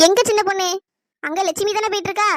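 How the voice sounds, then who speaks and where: A woman's voice: very high-pitched, fairly even intonation, quick pace, dry recording, clean studio sound. A woman voicing a sensible, chatty village neighbour who keeps the peace, in cartoon dialogue. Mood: agreeable, placating. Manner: chatty, placating.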